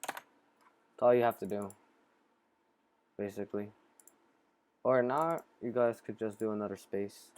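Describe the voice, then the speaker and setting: A man casually talking through a software tutorial in a halting, improvised way, step by step. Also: fairly low pitch, fairly even intonation, clean tone. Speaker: tutorial host.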